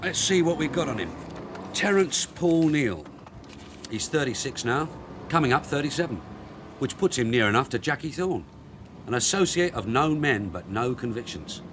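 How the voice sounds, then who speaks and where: An actor playing a shrewd, blunt middle-aged police detective sergeant in a radio drama. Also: male voice, mid-range voice, sing-song, moderate pace, noisy background, natural voice. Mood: brisk, sardonic. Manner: blunt, dry, probing.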